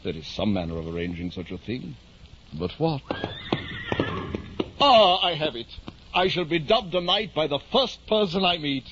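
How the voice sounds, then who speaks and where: Deluded gentleman knight, middle-aged, grandiose florid declaiming, old radio drama, muffled hissy recording. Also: fairly high pitch, sing-song, normal speed, pauses often. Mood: grave, resolved. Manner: pompous, florid, theatrical declaiming. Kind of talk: 1950s radio drama acting.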